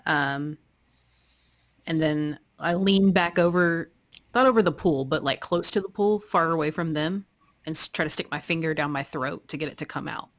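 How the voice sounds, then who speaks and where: A tabletop player with a halting, informal way of talking, casually role-playing and describing a character's actions. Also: mid-range voice.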